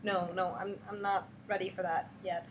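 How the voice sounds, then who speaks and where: A woman's voice: mid-range voice, flat delivery, moderate pace, highs cut off, pitched below the performer's own voice, lightly acted. A woman playing a subdued, reluctant lone superheroine in a hesitant, understated storybook read-aloud. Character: subdued, reluctant lone heroine declining company. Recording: noisy webcam recording.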